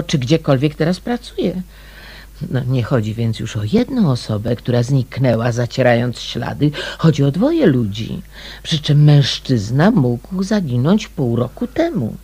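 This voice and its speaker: Radio play role: courteous, inquisitive woman detective, lowish animated voice. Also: breathy edge. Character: polite, persistent lady amateur sleuth. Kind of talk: radio drama acting.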